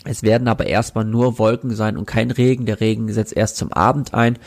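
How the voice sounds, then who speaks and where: A man with a cold, his voice congested and sniffling, giving a relaxed, chatty weekend weather forecast. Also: lowish voice, brisk. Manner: relaxed, chatty, with filler hesitations. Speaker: weather presenter. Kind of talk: weekly weather forecast, casual address.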